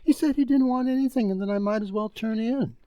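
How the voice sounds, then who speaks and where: A woman's voice: on the high side, fast talker, dark tone, dry recording, pitched above the performer's own voice, natural voice. A woman playing a deferential, hesitant household butler in a radio drama. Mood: anxious, deferential. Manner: meek, hesitant, respectful.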